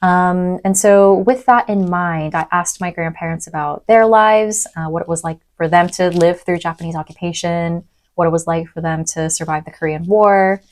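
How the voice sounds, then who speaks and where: A young adult woman lecturer who grew up in East Africa, speaking thoughtfully in a podcast interview with frequent pauses and "um"s. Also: lowish voice, fairly even intonation. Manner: thoughtful, soft-spoken, many "um"s. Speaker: university lecturer.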